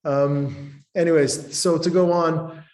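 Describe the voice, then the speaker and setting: Middle-aged man from Canada, professor type, halting delivery, video-call audio. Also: fairly high pitch, steady tone, a little mellow, poor audio. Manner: hesitant, many ums and restarts. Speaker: professor and programme convener. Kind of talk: webinar presentation.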